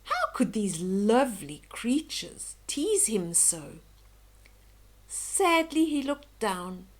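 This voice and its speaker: An older woman reading a children's bedtime story aloud in a gentle, expressive, dramatic way. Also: on the high side. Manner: slow, gentle, dramatic, with hushed whispery moments.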